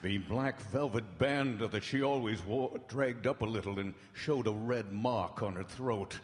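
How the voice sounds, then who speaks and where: A grave male doctor played by an actor recounts his journal with solemn, dramatic weight. The delivery is old-time radio horror, measured and anguished, in a reverberant hall. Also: fairly low pitch, lightly acted. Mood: anxious. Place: large hall stage before live audience. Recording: echoey hall, live stage broadcast.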